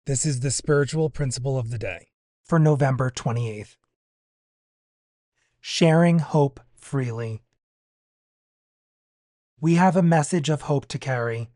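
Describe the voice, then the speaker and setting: A man reads a daily reflection aloud as a guest on a podcast. His pitch sits in the middle for a male voice, and the recording is dry with no room sound. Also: darkish tone, no room sound. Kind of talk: reading a daily meditation aloud.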